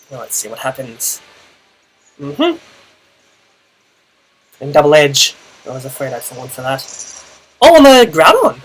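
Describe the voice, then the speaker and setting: A young Australian man with a naturally loud voice, casually narrating his own Pokemon battle, thinking aloud with fillers. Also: a little high, a little hiss or hum, poor audio. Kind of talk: casual play-by-play gaming commentary.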